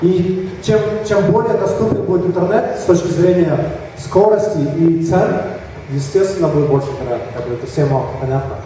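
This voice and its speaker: A man with a Kyrgyz accent, slightly hoarse from a cold, giving a halting conference talk with slides. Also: sits high, warm tone, slight background noise. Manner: halting, many fillers and restarts. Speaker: conference speaker. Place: large echoey hall. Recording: echoey hall from far off.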